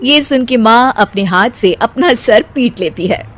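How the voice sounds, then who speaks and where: A woman narrating a cartoon moral story in a clear, even storytelling voice. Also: on the high side, a little slow, soft background music.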